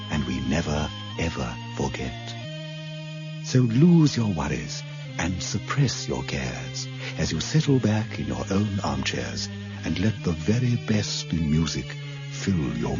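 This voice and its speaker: An actor playing a soothing, measured and faintly ominous male broadcast announcer for a regime in a radio drama. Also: rumbling low, big pitch swings, full character voice. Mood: soothing, controlled. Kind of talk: slow propaganda radio announcement. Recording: dull studio radio recording under music. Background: music.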